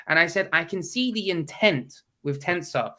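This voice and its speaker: A man guesting on a video call chat, his voice bright and forward and sitting a bit high, though a head cold leaves it stuffy. He talks bluntly and with plenty of opinion. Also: lively intonation, normal speed. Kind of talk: casual chat.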